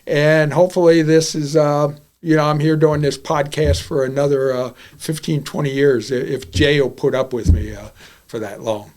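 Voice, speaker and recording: A man's voice: a little high, steady tone, moderate pace, darkish tone. A man in his seventies hosting a podcast, talking candidly and bluntly, often repeating himself as he goes. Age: 70s. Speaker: podcast host. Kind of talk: casual podcast monologue.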